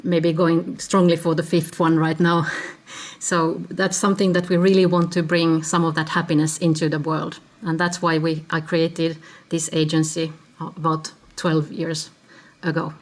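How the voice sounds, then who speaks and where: A Finnish woman in her fifties with a Finnish accent, a podcast guest explaining her career in a measured way, stopping now and then to find the word. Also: low-pitched, level tone. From Finland. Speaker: podcast guest, agency CEO. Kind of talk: conversational interview answers.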